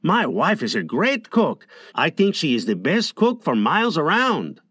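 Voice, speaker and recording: A man's voice: fairly high pitch, huge pitch swings, unhurried, a bit bright, slightly rough, no echo, studio quality, voice pushed up, heavily acted. A man voicing a grateful village father who talks in elaborate, flowery speeches. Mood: effusive.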